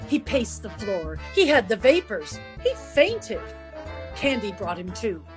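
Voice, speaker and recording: A woman's voice: mid-range voice, big pitch swings, unhurried. An actress playing an arch, melodramatic female narrator in a theatrical radio play. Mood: mock dramatic. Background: music.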